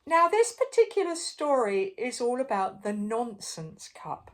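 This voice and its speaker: An older woman reading a picture book aloud to young children in a playful, expressive way, with chatty asides to the listener. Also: fairly high pitch, a little slow. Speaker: storyteller.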